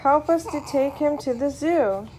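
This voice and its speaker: A bold little boy calling to his friends from a garden wall in a storybook, acted out by a woman, high-pitched, with noise all around. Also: animated, slow pace, darkish tone, low-quality recording. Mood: triumphant. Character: brave, clever young boy hero in a children's story.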